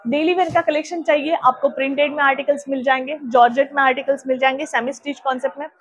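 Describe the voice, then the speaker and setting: A woman in her thirties giving a persuasive sales pitch on a tour of a large clothing store, listing her stock one item after another. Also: fairly even intonation, fast, crowd noise, rough recording. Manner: persuasive, listing wares steadily. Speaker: shop representative.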